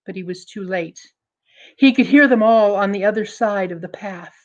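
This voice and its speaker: A woman telling a story dramatically to an audience, deliberate and emphatic, building suspense. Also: medium pitch, darkish tone, lo-fi sound.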